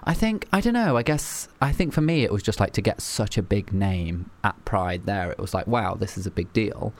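A man in a casual podcast chat, eager and quick to agree. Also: lowish voice, expressive. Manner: eager, agreeable, fast and restless delivery.

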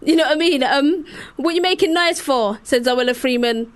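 A young woman from Sierra Leone co-hosting a radio show, animated and laughing often as she tells stories. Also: sits high, moderate pace. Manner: animated, laughing, lots of repeats. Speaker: co-host, rapper. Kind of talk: casual radio chat.

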